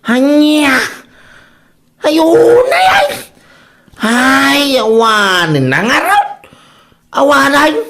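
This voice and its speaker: A man with a Filipino accent playing an excitable, scheming, complaining husband in a comedy radio drama, exclamatory and exaggerated. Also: extremely high pitch, very slow, pauses often, heavily acted. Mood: loud outburst.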